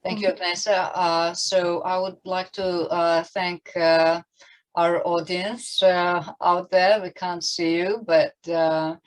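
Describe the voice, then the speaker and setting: Woman hosting an online panel, low flat voice, kinda halting phrases. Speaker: event moderator.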